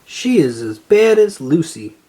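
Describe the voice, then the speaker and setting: A narrator reading a children's story aloud in an expressive way, acting out the dialogue. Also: medium pitch, measured pace, slightly rough. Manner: animated, acts out dialogue.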